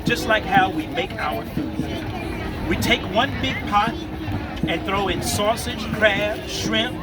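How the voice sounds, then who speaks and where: High-voiced man reading to kids over music. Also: slow pace. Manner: informal, checks in with the audience, invites responses. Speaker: children's storyteller at a book festival. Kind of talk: animated read-aloud to kids, with asides and call-outs. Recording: distant mic, loud music and crowd noise. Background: music and a noisy crowd.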